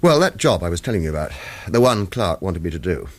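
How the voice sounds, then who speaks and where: Radio thriller lead: a stoic former government killer, deep and understated yet varied in pitch, world-weary. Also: male voice, sing-song, quick pace, no room sound. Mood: wry, resigned. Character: weary ex-government assassin on the run, guarded and stoic. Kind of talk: radio thriller acting with first-person narration.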